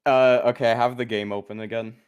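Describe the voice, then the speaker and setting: A man's voice: medium pitch, fairly even intonation, brisk, clean tone, muffled recording. A man co-hosting a game stream, talking casually and swearing freely. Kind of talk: casual live stream chat.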